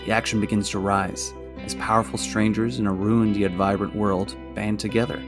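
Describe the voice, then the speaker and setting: A man's voice: lowish voice, level tone, normal speed. Male trailer narrator over guitar music. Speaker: promo narrator. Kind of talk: scripted podcast trailer narration. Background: plucked guitar music.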